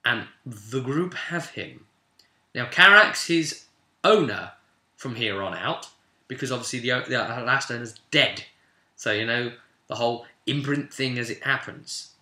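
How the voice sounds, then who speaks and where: A man acting as game master, recounting a tabletop fantasy game session informally like an animated storyteller speaking straight to his listeners. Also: medium pitch, a little slow. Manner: animated storyteller, voicing characters' lines and addressing listeners directly. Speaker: game master and host of a tabletop roleplay recap series. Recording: echoey, roomy sound.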